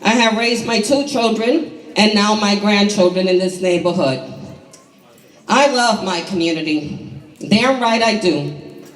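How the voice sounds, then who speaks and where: A hoarse woman in her sixties from New York City gives an emphatic, deliberate prepared speech at a community meeting. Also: mid-range voice. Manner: emphatic, deliberate rhetorical delivery. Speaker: community housing activist. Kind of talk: prepared public speech at a town hall. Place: large indoor community hall. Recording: echoey hall, distant microphone.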